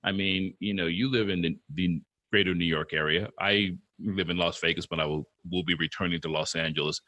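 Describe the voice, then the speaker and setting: A man's voice: low voice, steady tone, a little fast, bright, forceful tone, highs cut off. A middle-aged man co-hosting a casual interview, telling stories with a rambling manner and frequent restarts. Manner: rambling, storytelling, many restarts. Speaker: podcast co-host.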